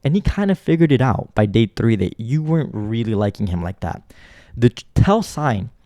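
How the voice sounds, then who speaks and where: A man's voice: lowish voice, warm tone, dry recording, clean studio sound. A man reacting to a clip in blunt commentary, lecturing and repeating his points for emphasis.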